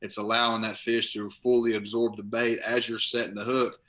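Young male guest, bright tense voice, even monotone delivery, laptop video call from home. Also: fairly low pitch, normal speed. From Kentucky. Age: young adult. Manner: rambling, restarts phrases often. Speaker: guest, college linebacker and bass angler. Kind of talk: casual interview over video call. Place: living room at home. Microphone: laptop webcam on video call.

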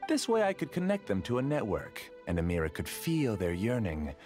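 An actor playing a formal, self-assured, obsessive scholar who rules a dream world in an anime game. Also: male voice, on the low side, wildly swooping pitch, brisk, darkish tone, faint music behind. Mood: earnest, confessional. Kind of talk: anime video game voice acting.

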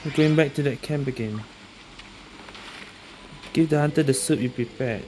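A woman's voice: rumbling low, moderate pace, warm tone, a little hiss or hum, low-quality recording. A woman giving casual, amused gameplay commentary, reacting hesitantly and reading out on-screen text. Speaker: gaming video creator.

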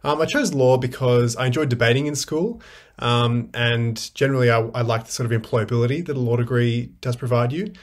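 A man's voice: mid-range voice, fairly quick. Man in his 20s, hesitant and thoughtful, webcam at home. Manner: thoughtful, hesitant with many ums. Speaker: university student. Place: room with window blinds.

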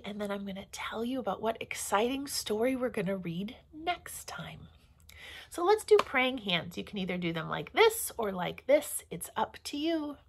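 Female children's storyteller, forties, pastor type. Unhurried delivery, lively swooping intonation, simple phrasing. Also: on the high side. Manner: animated, simple phrasing with deliberate pauses for children. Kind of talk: storytelling and talking to young children. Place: small room at home or office, indoors.

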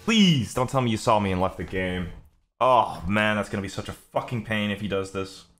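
A man with a deep, very animated voice commentates casually on a video game. He speaks as a streamer does, offhand and profane, with exclaimed complaints. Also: normal speed.

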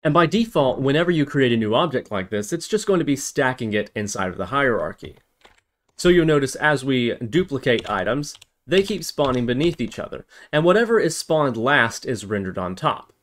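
A man's voice: mid-range voice, very animated, moderate pace, fairly bright tone. A man narrating a programming tutorial, explaining step by step and thinking aloud as he goes. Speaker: tutorial host.

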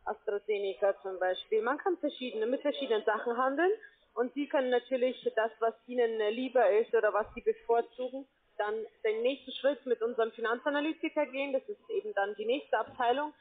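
High-pitched woman on a tinny phone line. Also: a little fast. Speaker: call center account manager, telesales agent. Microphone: telephone.

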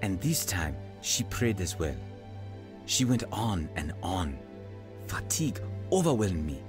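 A man's voice: deep voice, big pitch swings, unhurried, over music. An actor playing an earnest, weary evangelist who tells his life story in a radio drama. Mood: reflective. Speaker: actor narrating and playing a preacher. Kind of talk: radio drama acting and first-person narration.

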